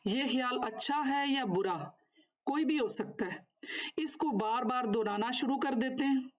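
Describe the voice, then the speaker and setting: A woman's voice: sits high, measured pace. A woman instructor giving a course lecture, partly reading from notes and partly explaining, in formal, instructive wording.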